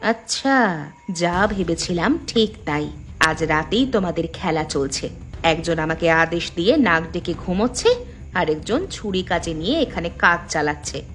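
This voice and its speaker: An actress playing an earnest, strong-willed young daughter-in-law in a cartoon drama. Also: female voice, fairly low pitch, animated, a little slow, a bit bright, slight background noise. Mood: determined, defiant. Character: educated young daughter-in-law, defiant amateur sleuth.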